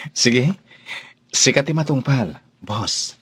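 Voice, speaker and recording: An actor playing an easygoing young master in a radio drama, casual and coaxing. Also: male voice, medium pitch, lively intonation, extremely slow, husky, full character voice. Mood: agreeable. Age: young adult.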